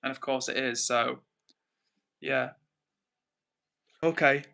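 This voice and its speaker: Bright-voiced, chatty English man livestreaming. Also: medium pitch, steady tone, slow pace, gravelly. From England. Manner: chatty, informal, rambling, thinks aloud. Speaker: livestream host. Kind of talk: casual live chat reading out comments.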